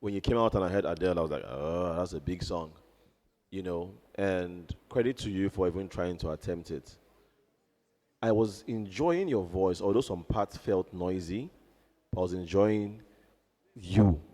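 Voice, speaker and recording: A man giving a reflective, measured critique as a judge. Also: low-pitched, mellow. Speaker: talent show judge. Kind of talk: live critique.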